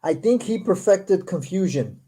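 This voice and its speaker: High-voiced man, native Portuguese speaker. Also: expressive, warm tone, husky. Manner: reads verses aloud slowly, then comments in a quick, sarcastic tone. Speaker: show host. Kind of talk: casual critical discussion.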